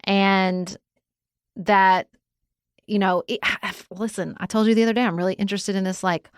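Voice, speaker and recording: A woman co-hosting a podcast, talking through a book in an animated, opinionated, conversational way. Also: mid-range voice, moderate pace, clean tone, dry recording, studio quality. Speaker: podcast co-host. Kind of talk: casual book discussion.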